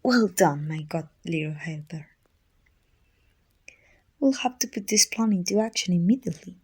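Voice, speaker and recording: A woman playing a dominant, haughty evil queen with a soft spot, musing imperiously in an ASMR roleplay. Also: fairly low pitch, expressive, normal speed. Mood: condescending approval. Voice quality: husky, breathy with whispered edges. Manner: imperious, musing, teasing condescension. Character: dominant, haughty evil queen softening toward her little helper.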